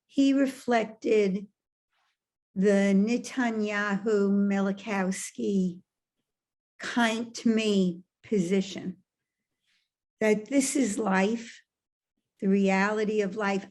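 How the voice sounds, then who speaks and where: A woman in a study group giving a careful, measured comment. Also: medium pitch, darkish tone. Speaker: class participant. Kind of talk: commenting in a discussion.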